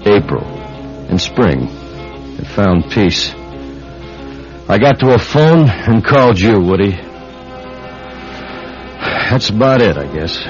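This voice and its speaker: An earnest, troubled investigator in a radio drama. He is deep-voiced and unhurried, with grave, dramatic delivery. The recording is somewhat dull. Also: sing-song, faint music behind. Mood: grieving, weary. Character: troubled, earnest male investigator narrating his case.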